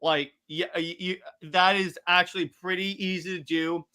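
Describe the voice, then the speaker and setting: A man's voice: sits high, level tone, moderate pace, pushed and bright, clear, resonant tone. A man co-hosting a podcast, talking casually about films and video games in an informal back-and-forth. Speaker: podcast co-host. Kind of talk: casual film and game discussion.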